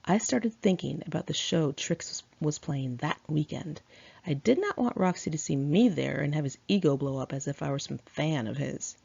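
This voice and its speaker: A woman's voice: low voice, normal speed, gravelly, warm tone, breathy edge. A woman reading her own memoir aloud in a candid, chatty, sassy conversational style, on a dull lo-fi home recording.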